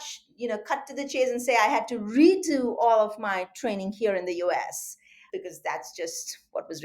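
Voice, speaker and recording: Woman, Indian accent, middle-aged, surgeon, interview guest, measured, articulate, mid pitch. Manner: measured, articulate, with occasional fillers. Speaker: surgeon and medical educator. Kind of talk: interview guest, recounting career.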